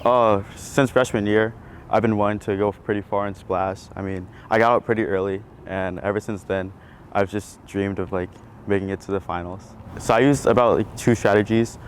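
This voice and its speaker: A man's voice: fairly low pitch, steady tone, moderate pace, a little hiss or hum. A young man telling a casual story with lots of "like"s and loose, rambling phrasing. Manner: rambling with fillers. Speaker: game winner. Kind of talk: casual interview.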